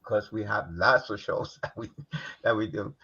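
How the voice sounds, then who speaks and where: Male host, loose and chatty, slightly husky, dull stream sound. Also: on the low side, normal speed. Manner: relaxed, rambling with fillers, laughs easily. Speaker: talk show host. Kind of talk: casual live-stream chat.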